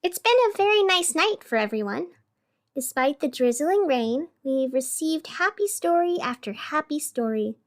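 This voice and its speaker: A woman playing a radio host in an audio drama, narrating with measured, theatrical delivery and dramatic pauses. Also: high-pitched, lively intonation. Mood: upbeat reporting. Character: sleepless, over-caffeinated female radio host in strange city. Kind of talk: scripted radio fiction narration.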